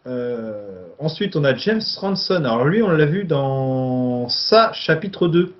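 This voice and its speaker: Guy chatting about movies, lots of "euh", middle pitch, kinda dull lo-fi sound. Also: lively intonation, takes it slowly. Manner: hesitant, many fillers, summarizing plot. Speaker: film podcast co-host. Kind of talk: casual film discussion.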